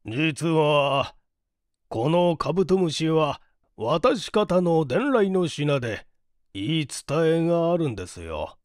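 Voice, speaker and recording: Man reading as a slow-spoken, sheepish gentleman. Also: a little high, sing-song, no room sound, clean studio sound. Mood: explaining. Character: mild, sheepish gentleman, father of a grown daughter. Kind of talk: audiobook character reading.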